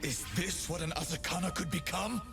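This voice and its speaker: An actor playing a solemn, grim demon-hunting swordsman in a game, speaking in measured, deliberate lines. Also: high voice, creaky, music in the background. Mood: solemn, menacing. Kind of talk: scripted game character lines.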